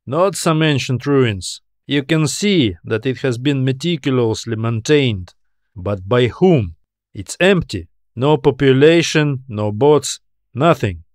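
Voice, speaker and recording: A man voicing a cautious, uneasy male astronaut in deliberate, clipped statements for an audiobook. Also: mid-range voice, expressive, dry recording, studio quality. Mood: puzzled.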